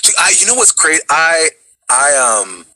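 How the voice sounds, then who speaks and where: Young male guest, pitch swings all over, rambling and self-correcting. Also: very animated, normal speed, pushed and bright, poor audio. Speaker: voice actor guest. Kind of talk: casual chat.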